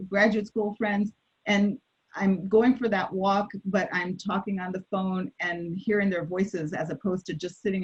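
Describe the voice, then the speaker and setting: A woman panel guest talking reflectively about her daily routines, hesitant with many fillers and restarts. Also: medium pitch. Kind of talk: casual personal sharing on a panel. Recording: dull lo-fi sound.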